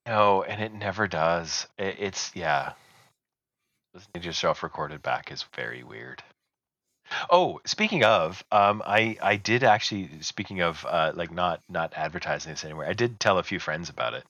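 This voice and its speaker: Co-host, man, very deep but bright-edged voice, self-deprecating, laughs a lot. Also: lively intonation, moderate pace, no room sound, dull audio. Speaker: podcast co-host. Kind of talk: casual chat.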